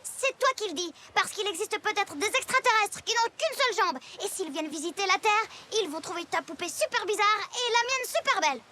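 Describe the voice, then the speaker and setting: A woman voicing a curious, chatty young girl in a cartoon, eager and bright. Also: extremely high pitch, animated, fairly quick, hardly any pauses, slight background noise, full character voice. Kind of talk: cartoon voice acting. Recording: music behind the voice.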